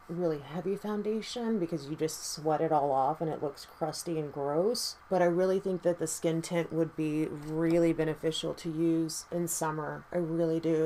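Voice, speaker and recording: A woman with a raspy voice doing her makeup and chatting casually, tired, rambling and swearing freely. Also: low voice, dark tone, slight background noise, poor audio. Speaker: makeup vlogger.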